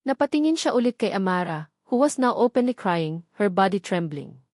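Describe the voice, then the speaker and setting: A woman's voice: medium pitch, sing-song, normal speed, darkish tone, dry recording. Filipino woman narrating dramatically, dry close sound. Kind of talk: dramatic scripted storytelling narration.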